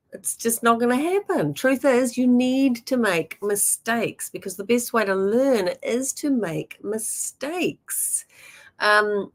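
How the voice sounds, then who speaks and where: Chatty New Zealand woman painting teacher. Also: mid-range voice. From born in Germany, raised in New Zealand. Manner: chatty and encouraging, many ums and you-knows. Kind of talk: casual teaching talk.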